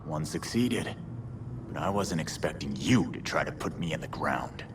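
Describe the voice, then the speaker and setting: An actor playing a brooding, sardonic undead vigilante in a video game, a big gruff man who speaks dryly. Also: extremely low pitch, animated, background noise. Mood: dry menace. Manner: dry, sardonic, unhurried. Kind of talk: video game cutscene acting.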